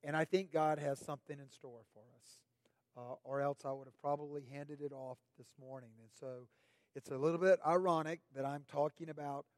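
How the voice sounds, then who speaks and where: A middle-aged man preaching a sermon as pastor, his voice raspy this morning, speaking in a measured, deliberate way and lifting his voice on questions. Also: on the high side, steady tone, darkish tone, no echo.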